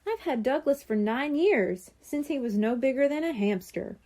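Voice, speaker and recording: A woman voicing a kindly, gentle, rueful older man in a children's audiobook. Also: high-pitched, animated, moderate pace. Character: kindly older man, gentle soon-to-retire school worker.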